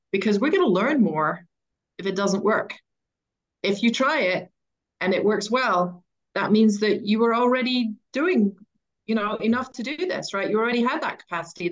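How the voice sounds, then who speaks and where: A woman giving an expert interview in a conversational style, explaining her points in a measured, deliberate way. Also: mid-range voice, muffled recording. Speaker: interview guest, education author and coach.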